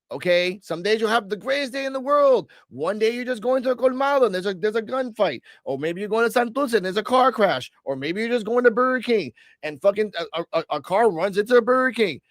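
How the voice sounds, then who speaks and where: Blunt, high-voiced man. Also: no room sound, clean studio sound. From Puerto Rico. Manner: blunt, profane, swings loud and soft. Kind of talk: casual podcast chat.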